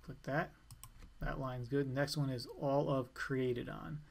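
A man giving an informal step-by-step software tutorial in a plain, instructional way. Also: mid-range voice, steady tone, slow, gravelly. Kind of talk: step-by-step screencast walkthrough, informal.

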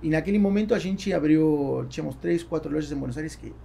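A man in his forties from Argentina with an Argentine Spanish accent, a native Spanish speaker answering conversationally in a podcast interview with halting phrasing. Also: on the high side, creaky, dark tone, slight background noise. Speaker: entrepreneur, podcast guest. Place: small foam-padded podcast studio. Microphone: studio microphone on boom arm.

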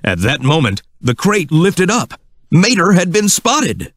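A man's voice: sits high, big pitch swings, a little slow, fairly bright tone, no echo, clean studio sound. A man reading scripted narration for a children's read-along storybook.

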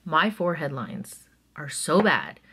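A woman in her thirties chatting excitedly to camera while doing her makeup in a small bedroom, drifting into soft asides. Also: low-pitched, a little slow, slightly rough. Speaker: vlogger. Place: small bedroom at home, indoors. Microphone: camera close in front of her.

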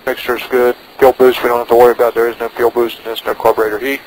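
A man pilots a small plane and speaks over a headset in short procedural phrases. His pitch is middling. He switches between radio calls and briefing himself, with a faint engine drone on the intercom. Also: little pitch movement, quick pace, a little hiss or hum, poor audio. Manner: talks himself through checks in short phrases. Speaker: private pilot. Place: inside a small propeller plane in flight. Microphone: aviation headset.

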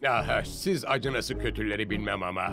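A man's voice: on the low side, a little fast, gravelly, music in the background. A man voicing a boastful, sneering little mosquito villain in a cartoon. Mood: cocky threatening. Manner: sneering, self-important.

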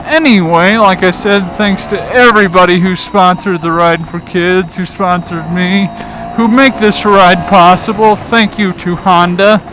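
A man with a fairly high voice rambles easily while riding his scooter through town. He talks in a loose, unhurried way, full of "uh" and "you know". Road, wind and engine noise sit clearly under his voice from the helmet-mounted mic. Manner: chatty, laid-back, lots of "uh" and "you know". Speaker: motovlogger. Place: outdoors on a scooter in city traffic.

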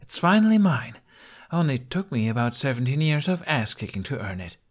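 Man voicing a brash young woman, pitched up. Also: big pitch swings, a little fast. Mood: triumphant. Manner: bold, theatrical, coaxing. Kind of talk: audiobook character voice.

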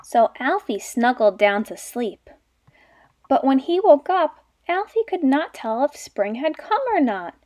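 Young female preschool teacher, high voice, very lively intonation, story read-aloud. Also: unhurried. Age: young adult. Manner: expressive, addressing small children with questions. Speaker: children's literacy teacher.

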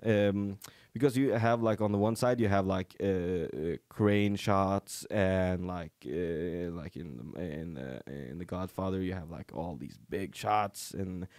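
Young man, Norwegian native speaker, halting with fillers, dry close studio mic. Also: low voice, a little mellow, dry recording. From rural Norway. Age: young adult. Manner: hesitant, many fillers and restarts. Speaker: podcast guest, comedian. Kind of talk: casual chat. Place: small studio room. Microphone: studio mic on boom arm, headphones.

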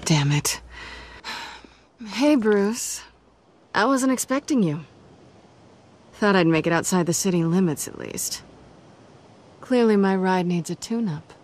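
A woman's voice: mid-range voice, lively intonation, darkish tone. An actress playing a sly, flirtatious cat burglar in her thirties, confident and teasing, in a game drama. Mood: surprised, casual. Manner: teasing, sultry, unhurried.

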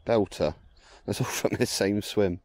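Male angler, low warm voice, easygoing talk to camera outdoors. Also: measured pace, no echo. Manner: relaxed, chatty, explains his tackle. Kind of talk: casual fishing commentary. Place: canal bank outdoors.

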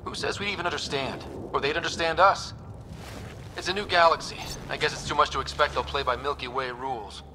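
Guy acting a young space explorer leader from a video game, earnest n measured, lots of noise behind him. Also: a little high, steady tone, close to an everyday voice. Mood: somber.

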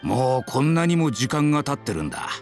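A gentle, reflective old father from an anime game. His medium-pitched voice moves expressively yet stays measured and wistful, with faint music underneath. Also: very animated.

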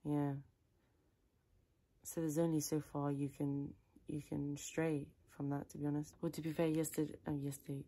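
Soft, low, creaky-voiced woman doing ASMR. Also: little pitch movement, normal speed. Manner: rambling, improvised, chaotic chatter. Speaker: ASMR creator playing a tailor. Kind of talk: ASMR personal attention role play.

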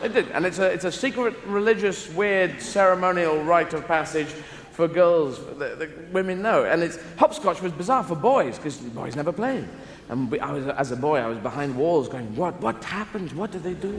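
A man's voice: a little high, expressive, moderate pace. A man in his thirties doing a rambling, playful stand-up routine on a large theatre stage. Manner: rambling, playful, acts out voices. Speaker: stand-up comedian. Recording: echoey live theatre recording. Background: audience laughter.

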